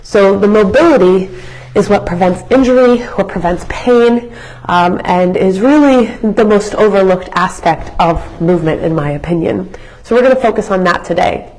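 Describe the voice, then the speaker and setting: A young woman fitness instructor with a raspy, hoarse voice from being sick, chatting through a live workout class in an educational way with many fillers. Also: medium pitch, normal speed, a little mellow, low-quality recording. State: hoarse from illness.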